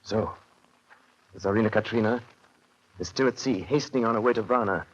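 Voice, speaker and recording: A man's voice: on the low side, brisk, slightly rough. An actor playing an earnest doctor reading out his diary with grave, dramatic delivery in a 1930s radio play. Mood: grave, tense. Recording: dull, noisy 1938 radio broadcast recording.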